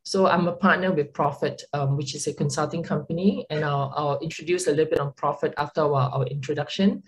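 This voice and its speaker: A woman's voice: deep voice, steady tone, fairly quick, a little hiss or hum, rough recording. Singaporean woman presenting a business webinar. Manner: conversational, frequent "right?" tags and um fillers. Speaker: consulting partner, webinar host. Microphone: video call.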